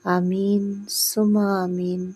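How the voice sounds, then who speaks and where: A woman tells a scripted moral tale with a dark, soft-edged voice. It is husky, with some breath in it. Her delivery is devout and earnest, and she lets each phrase settle before the next. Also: mid-range voice, level tone. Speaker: storyteller. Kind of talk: scripted moral story narration.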